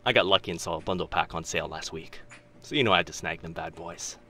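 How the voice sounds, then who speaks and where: A young man playing a teasing, kind-hearted telepath in a boyfriend ASMR roleplay, with hesitant, chatty talk and soft asides. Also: low voice, quick pace. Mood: friendly, awkward. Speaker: voice actor in audio roleplay.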